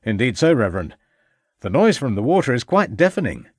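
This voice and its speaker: A man reading the part of a Victorian police inspector in a storybook, brisk, formal and commanding. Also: mid-range voice, big pitch swings, slightly rough, dry recording, clean studio sound. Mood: polite.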